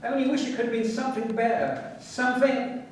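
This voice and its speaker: A man's voice: very high voice, brisk. An actor playing an eager male admirer courting a barmaid in a radio drama. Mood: eager, wooing. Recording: very echoey, distant, faint noise.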